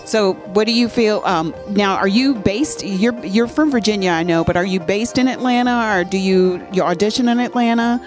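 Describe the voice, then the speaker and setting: A woman's voice: mid-range voice, moderate pace, few pauses. A woman hosting an interview at a fan convention, asking questions in an eager, enthusiastic way with repeated "I mean". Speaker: interviewer and host. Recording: music faint behind the voice. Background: music playing.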